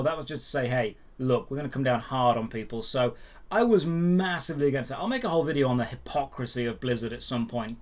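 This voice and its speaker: A man hosting a news show, giving opinionated commentary straight to viewers with emphatic phrasing and dramatic pauses. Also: mid-range voice. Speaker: host of a daily gaming news show.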